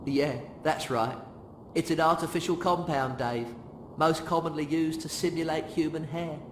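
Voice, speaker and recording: A man voicing a slow-witted, matter-of-fact ship's computer in a deadpan manner. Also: on the high side, echoey room, slight background noise, pitched above the performer's own voice, natural voice.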